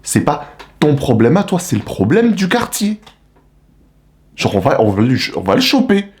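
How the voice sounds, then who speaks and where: A young man from the Republic of the Congo giving a casual, animated, slangy monologue to the camera as a vlogger. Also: a little high, moderate pace. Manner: animated, slangy, rhetorical questions.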